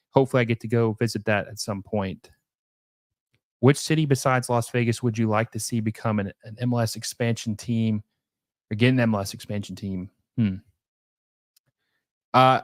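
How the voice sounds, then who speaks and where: A man's voice: medium pitch, dry recording, studio quality. Rambling man chatting on a live stream. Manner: rambling, many fillers and restarts. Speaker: livestream host. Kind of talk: casual live stream chat.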